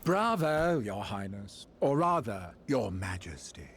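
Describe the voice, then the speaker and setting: An actor playing a flamboyant, cruel villain lord in a video game, haughty and mocking in a theatrical way. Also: male voice, on the low side, sing-song, slow, slight background noise, full character voice. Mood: smug, mocking. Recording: loud music behind. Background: game music.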